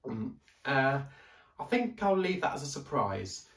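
A man's voice: medium pitch, big pitch swings, measured pace, a bit bright, recorded from a distance, pitched above the performer's own voice. A man voicing a cautious, nervous young boy in a raised, hesitant voice, recorded in a roomy space away from the mic.

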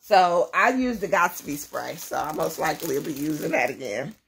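A woman's voice: deep voice, expressive, normal speed, gravelly, a bit bright, slight background noise. A woman, chatting casually to camera at home, rambling and laughing as she talks. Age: 30s. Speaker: vlogger, mother of many. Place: small indoor room at home.

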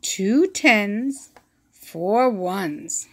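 A woman reading a children's math picture book aloud, counting slowly and asking the listener questions, recorded on a phone held over the book. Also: mid-range voice, expressive, fairly bright tone, slight background noise. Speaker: read-aloud teacher.

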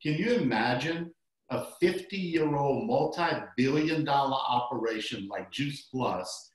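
A man hosting a promotional interview from his office, with a booming salesman delivery. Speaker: host. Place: office with framed photos. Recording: very echoey room.

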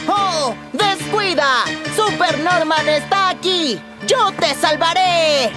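A woman's voice: very high-pitched, slow pace, over loud music. A woman with a Latin American accent voicing a cocky, mischievous, bossy young boy in a cartoon dub. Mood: excited, heroic. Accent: Latin American Spanish. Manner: eager, bossy. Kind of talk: animated series dub.

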